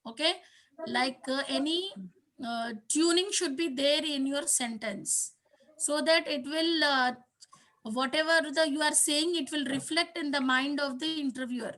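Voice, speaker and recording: Bright high-voiced woman trainer. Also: rough recording. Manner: explains slowly with many fillers and repeated "okay?". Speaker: English communication trainer. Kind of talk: online class coaching.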